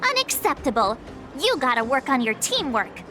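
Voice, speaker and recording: A woman's voice: sits high, sing-song, normal speed, over music. A woman voicing a perky, bossy teenage girl adventurer in an anime game, with a peppy, scolding manner. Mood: mock scolding.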